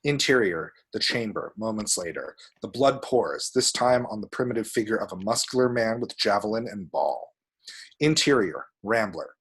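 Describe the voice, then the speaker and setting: A man narrates the action lines of a screenplay at a table read. His voice sits fairly low and has a husky, unpolished grain. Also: animated, normal speed, a bit bright.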